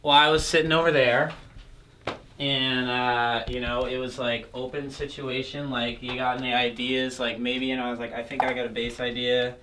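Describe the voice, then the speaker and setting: A young man, a bassist and co-producer, talking easily with lots of fillers in a small recording studio. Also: little pitch movement, moderate pace, noisy background. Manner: easygoing, lots of "like" and "you know". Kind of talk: casual studio chat.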